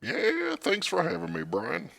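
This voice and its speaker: A man playing an earnest planeswalking weather-magic expert, telling his stories in a measured way as a guest in a parody public radio interview. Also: on the high side, wildly swooping pitch, creaky, a bit bright, full character voice. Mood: earnest, self-assured.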